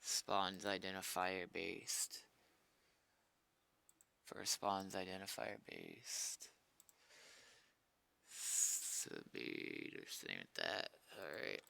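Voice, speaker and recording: Give a man streaming his programming work, talking to himself in a casual, profane mutter. His delivery is slow but moves freely up and down, with crisp, clean sound. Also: sits low, wildly swooping pitch, pushed and bright. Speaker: streamer doing game server development. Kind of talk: casual thinking aloud while coding.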